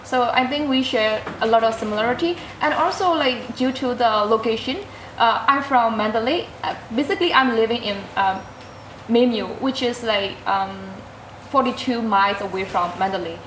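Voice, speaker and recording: A woman's voice: fairly high pitch, slight background noise. Hesitant young woman. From Myanmar. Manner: hesitant, fills pauses with "like" and "um". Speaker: student guest. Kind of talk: casual interview answers. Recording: roomy echo.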